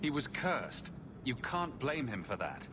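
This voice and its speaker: An actor playing a confident human adventurer for hire in a fantasy video game, direct and questioning with a wry edge. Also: male voice, mid-range voice, sing-song, measured pace, noisy background. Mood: surprised, firm. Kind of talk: video game cutscene acting.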